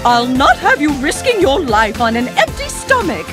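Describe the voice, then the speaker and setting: A fussy older nanny bird for a cartoon game. The voice is high and extremely animated, bossy in a motherly way, over clear music. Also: moderate pace. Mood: scolding. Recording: game cutscene with music underneath.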